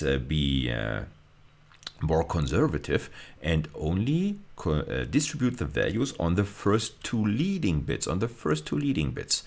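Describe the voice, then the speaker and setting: A man's voice: a bass voice, darkish tone. A middle-aged man giving an explanatory recorded lecture, working methodically step by step and repeating key phrases for emphasis. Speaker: university lecturer.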